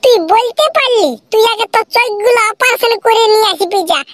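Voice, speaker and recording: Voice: very high-pitched, fairly quick, very noisy. A performer voicing a cheeky, dramatic cartoon villager who teases and whines in a rural Purulia accent. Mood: mocking. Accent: Purulia, rural western Bengal. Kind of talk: comedy cartoon dialogue in rural dialect.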